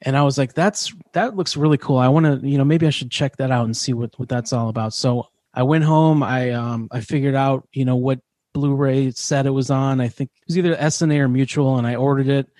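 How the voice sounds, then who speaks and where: A man's voice: mid-range voice, a little fast, few pauses, darkish tone, dry recording, clean studio sound. A man hosting a podcast, telling stories in a casual, chatty and enthusiastic way. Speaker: podcast host. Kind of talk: casual conversational interview.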